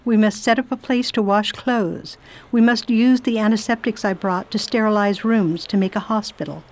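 A woman's voice: medium pitch, steady tone, moderate pace, natural voice. A woman playing a determined volunteer nurse in a radio play, formal, forthright and insistent.

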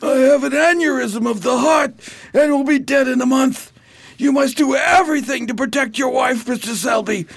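High, gravelly, anguished dying gambler. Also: male voice, moderate pace, full character voice. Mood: anguished confession. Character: ailing, guilt-ridden gambler brother, frantic and confessional. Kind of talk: amateur radio drama acting.

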